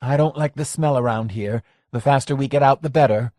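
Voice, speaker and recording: A man's voice: medium pitch, a little fast, mellow, no echo, clean studio sound, voice pushed up, lightly acted. A man voicing a blunt, streetwise woman in an audiobook, with a curt, dismissive manner in a raised voice.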